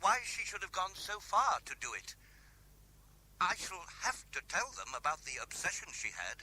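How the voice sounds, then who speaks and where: An anxious British husband in a radio play. His voice is husky and his intonation moves widely. He presses with urgent, suspicious insistence. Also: sits high, sing-song, moderate pace, bright, forceful tone, exaggerated voice, poor audio. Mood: subdued, probing. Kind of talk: British radio drama acting.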